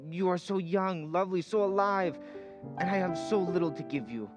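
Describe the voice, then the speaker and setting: An actor playing a shy, earnest, humble suitor with a halting, pleading delivery in an old-time radio drama. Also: male voice, high voice, soft background music, close to an everyday voice. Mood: pleading, tender.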